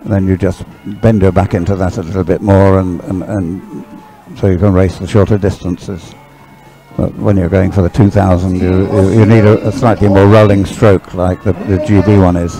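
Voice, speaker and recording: A man's voice: low-pitched, little pitch movement, normal speed, over music, poor audio. A man doing live sports commentary in a chatty, hesitant, rambling way.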